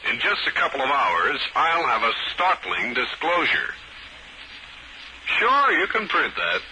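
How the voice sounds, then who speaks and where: A man's voice: mid-range voice, huge pitch swings, measured pace, bright, forceful tone, exaggerated voice. An actor playing a tough, cool private detective who narrates his own case in a 1950s radio drama. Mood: brisk. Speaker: lead character and narrator. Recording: very noisy old radio recording.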